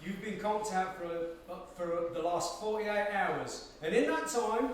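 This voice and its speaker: High-voiced old cockney codger, echoey. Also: male voice, animated, unhurried. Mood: earnest, slangy. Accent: London Cockney. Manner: jokey slang, coaxing, paternal. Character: Frank, older cockney codger, coaxing, fussy ex-soldier. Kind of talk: stage play dialogue. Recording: echoey room, distant mic, faint hiss.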